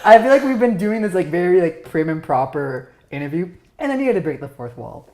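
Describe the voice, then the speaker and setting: Low-voiced fast-talking woman host. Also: very animated, a little mellow. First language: Romanian. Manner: fast-talking, stumbles over words. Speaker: podcast host. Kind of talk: casual chat. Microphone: lapel.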